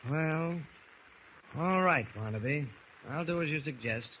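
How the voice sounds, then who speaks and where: An actor playing an upright, shrewd hero in civilian guise, speaking earnestly and firmly in a 1940s radio drama. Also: male voice, fairly high pitch, a little slow, natural voice. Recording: muffled, noisy old radio recording.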